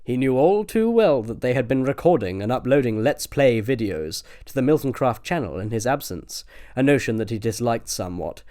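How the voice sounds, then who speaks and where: A man's voice: mid-range voice, big pitch swings, normal speed, hardly any pauses, mellow. A man with a British accent reading scripted comic story narration as an audiobook narrator.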